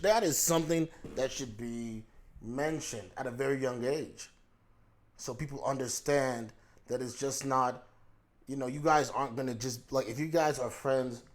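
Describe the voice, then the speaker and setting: A man giving a casual monologue as a YouTube commentator, reflective, posing rhetorical questions to the viewer and circling back over his own words. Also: mid-range voice. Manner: talks in loose restarts and repeats himself.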